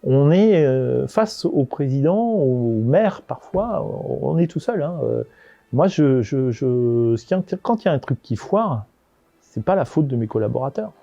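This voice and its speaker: A man's voice: medium pitch, sing-song, measured pace, gravelly, warm tone, dry recording, highs cut off. A man giving informal interview answers as a local government executive, often hesitating and repeating words as he searches for phrasing.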